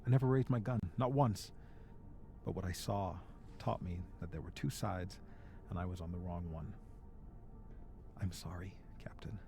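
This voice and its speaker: A man's voice: deep voice, normal speed, music in the background. An actor playing an earnest, remorseful man, a deserter and ship's medic, in a dramatic video game.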